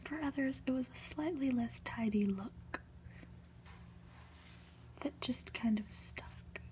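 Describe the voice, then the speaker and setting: Slow, whispering woman reading ASMR. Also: sits high. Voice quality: soft, breathy whisper. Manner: gentle, unhurried, deliberate delivery. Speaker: ASMR reader.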